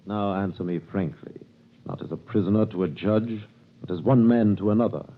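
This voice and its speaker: An actor playing a calculating king's prosecutor in a 1930s radio drama, questioning a prisoner in a measured, authoritative way. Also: fairly low pitch, natural voice. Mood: interrogating. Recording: tinny old radio broadcast.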